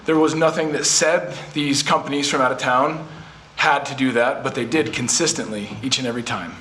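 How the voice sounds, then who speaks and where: A man making brief, calm, polite remarks at a public meeting. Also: medium pitch, normal speed, slight background noise. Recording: echoey meeting room.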